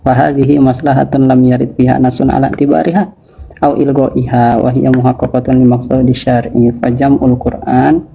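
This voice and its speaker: A man's voice: medium pitch, gravelly, breathy edge, highs cut off. A man with an Indonesian accent in an informal religious debate, speaking as a teacher with challenging, insistent questioning.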